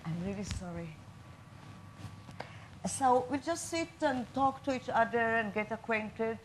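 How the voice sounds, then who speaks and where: A woman's voice: a little high, lively intonation, unhurried, a little hiss or hum, low-quality recording. Chatty woman tour guide. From Israel. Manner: apologetic, chatty, confiding. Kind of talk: stage monologue.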